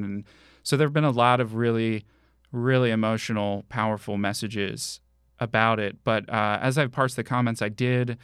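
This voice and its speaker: Young American man, smooth, even, lowish voice. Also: no echo, clean studio sound. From suburban Philadelphia, United States. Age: young adult. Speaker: interview guest, journalist. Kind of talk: casual interview conversation.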